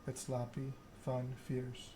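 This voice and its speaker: A man narrating a story game aloud in ASMR style, reading in a measured way with occasional stumbles. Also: medium pitch, monotone, noisy background.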